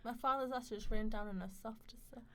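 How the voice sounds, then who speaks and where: A woman playing a proud, sharp-tongued young mistress in a radio play, ambitious and defiant, with a cutting, impassioned delivery. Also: a little high, a little fast.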